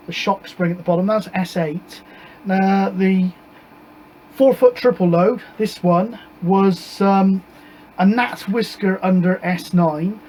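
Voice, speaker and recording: High-pitched British man, rambling casually. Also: a little mellow, a little hiss or hum, rough recording. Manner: hesitant, rambling, informal. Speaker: amateur radio hobbyist presenting antenna tests. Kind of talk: casual explanatory vlog.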